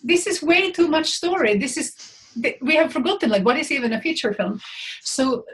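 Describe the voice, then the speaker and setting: A woman's voice: sits high, expressive, moderate pace, fairly bright tone. A woman in her forties from Finland, a film industry guest speaking frankly on a video call from a room at home, often restarting and repeating words. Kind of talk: panel talk on a video call. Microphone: laptop mic on a video call.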